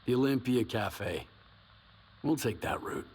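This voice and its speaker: A man's voice: medium pitch, a little slow, darkish tone, husky. A man playing a hardened, laconic wasteland loner in a video game, speaking matter-of-factly. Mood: determined.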